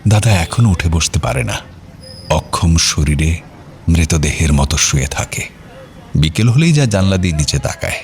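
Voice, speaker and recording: A man's voice: deep voice, expressive, normal speed, a little hiss or hum. A man narrating an audio drama as storyteller, in a dramatic scripted reading style. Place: studio. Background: music.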